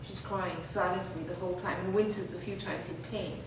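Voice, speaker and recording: A woman's voice: lowish voice, fairly even intonation, moderate pace, background noise. Woman reading screenplay directions, echoey. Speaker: reader of a screenplay. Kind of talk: staged reading of stage directions. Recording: echoey room from far off.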